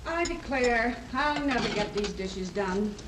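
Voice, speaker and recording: A hard-working older farm wife in a 1930s radio drama. Her high voice is unhurried and lilting. The old recording is roomy. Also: animated, noisy background. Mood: weary, folksy.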